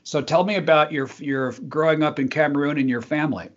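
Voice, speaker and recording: A man's voice: on the high side, steady tone, fairly quick, highs cut off. A man hosting an interview, asking questions conversationally with friendly, brief affirmations. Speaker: interview host.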